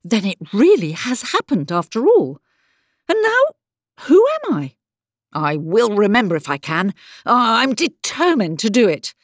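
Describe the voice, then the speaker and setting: A woman's voice: mid-range voice, huge pitch swings, a little slow, darkish tone, husky, no echo, clean studio sound, pitched above the performer's own voice, exaggerated voice. A woman voicing a chatty, puzzled but determined storybook little girl who muses aloud in hesitant exclamations. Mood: determined, flustered.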